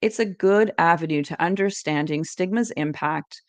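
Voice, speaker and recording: A woman's voice: lowish voice, moderate pace, dry recording. A woman giving an educational presentation, reading encouraging tips in a scripted, list-like way.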